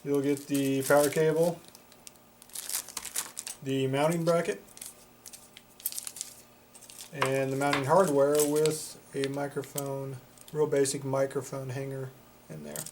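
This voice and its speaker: A man walks through a product in a casual, plain-spoken way. His voice is on the mellow side and stays fairly level in pitch. There is some faint background noise in the recording. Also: level tone, measured pace, rough recording. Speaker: product reviewer for a CB radio shop.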